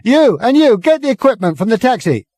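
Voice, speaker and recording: A man's voice: very animated, a little fast, no room sound, heavily acted. A man voicing a brash man who bellows urgent, commanding orders at a crowd in an audiobook. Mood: urgent, bossy. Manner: booming, urgent, commanding shouts.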